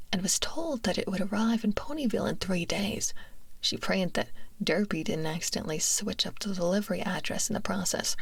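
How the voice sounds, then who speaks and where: A woman reading a story aloud in a breathy ASMR whisper, very close to the microphone. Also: lowish voice, dry recording. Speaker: ASMR streamer reading fan fiction. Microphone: close binaural microphone.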